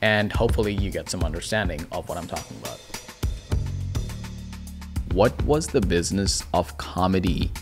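A man with a slightly low voice narrates a casual documentary-style interview video. He sounds curious and conversational, with music playing clearly behind him. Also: lively intonation, normal speed, pauses often.

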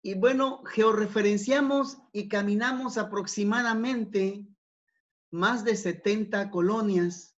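A man giving an academic talk over slides, unhurried, with many fillers and repeated words. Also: high voice. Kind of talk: conference presentation over slides. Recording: dull, lo-fi online talk.

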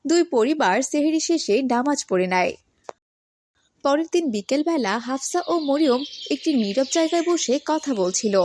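A woman with a high voice narrates a children's story. Her delivery is scripted storytelling for a moral cartoon. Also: expressive, normal speed, darkish tone, a little hiss or hum.

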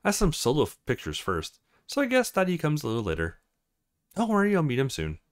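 Man voicing a teasing young woman model, swooping pitch. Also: huge pitch swings, quick pace. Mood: chatty.

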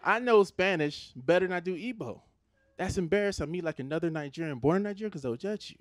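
Animated American man doing stand-up. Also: high voice, quick pace, no room sound. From Los Angeles. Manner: animated, conversational, switches into put-on Nigerian voices for his parents. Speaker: stand-up comedian. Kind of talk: stand-up comedy set. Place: theater stage, indoors. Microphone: handheld stage mic.

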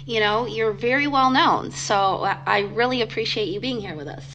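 A woman's voice: on the high side, moderate pace, pushed and bright, lo-fi sound. A woman hosting a live stream interview, friendly and addressing her chat.

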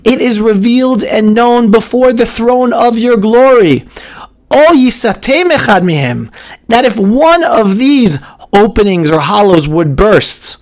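A hoarse man in his forties teaching in a casual live stream from a small room at home, talking emphatically and often repeating himself. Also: very high-pitched, a little slow. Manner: animated and emphatic, with frequent repeats and restarts. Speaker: video host teaching about prayer. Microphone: webcam, close framing.

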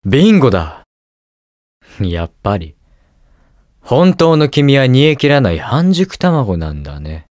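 A man's voice: mid-range voice, measured pace, long pauses, no room sound, clean studio sound. A young man playing a cool, inquisitive detective superhero in a video game, with precise, teasing delivery. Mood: smug, analytical. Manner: calm, precise, smug and curious delivery. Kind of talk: Japanese video game voice acting, short lines.

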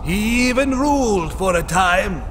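A man voicing an elderly, loyal yet sinister manservant, measured and formal, in a comic drama. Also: high voice, lively intonation, a bit bright, slight background noise. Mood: reverent. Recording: cavernous reverb, faint music.

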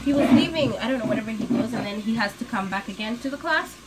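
A woman's voice: a little high, fast talker, heavy background noise. A young woman with a foreign accent, chatting and telling stories in a casual cooking vlog from her small home kitchen. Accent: foreign, non-native English. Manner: chatty storytelling, animated. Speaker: vlogger. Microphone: phone camera on the counter.

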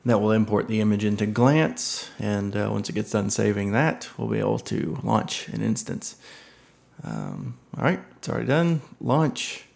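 Low gravelly man walking through software. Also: normal speed. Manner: relaxed, many ums and uhs, thinks aloud. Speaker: software tutorial presenter. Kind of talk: casual screen-recorded tech walkthrough.

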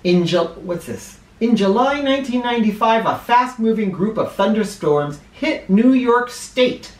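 An American man in middle age reads to children with very animated, swooping intonation. He takes his time and leans into words for drama. The sound is roomy, picked up by a camera in a living room. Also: high voice. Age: middle-aged. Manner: expressive, dramatic, deliberate emphasis. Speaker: children's picture book reader and host. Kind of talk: read-aloud for children with spoken asides. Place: small living room, indoors.

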